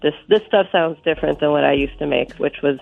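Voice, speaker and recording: A woman's voice: low voice, quick pace, creaky. Stuffy-nosed woman on a tinny phone line. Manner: soft-spoken, relaxed, many fillers. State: stuffy from a cold. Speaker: musician guest. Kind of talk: live radio phone interview.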